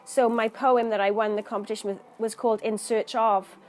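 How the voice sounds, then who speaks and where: A woman's voice: medium pitch, level tone, brisk, a little hiss or hum. A woman poet from Wales, talking about her work in an earnest, thoughtful interview, searching for words as she goes. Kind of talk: reflective interview talk.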